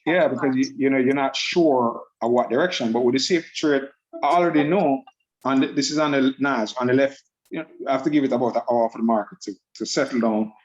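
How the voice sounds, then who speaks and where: A man, giving an upbeat, persuasive sales pitch about a trading program over a video call. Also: fairly quick, dull audio. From Jamaica. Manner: upbeat, persuasive, repeats words.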